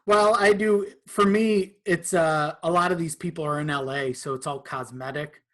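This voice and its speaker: A man chatting casually as a podcast guest, in a halting, amused manner. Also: a little high, fairly even intonation, a bit bright. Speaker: podcast guest, video editor. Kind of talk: casual chat.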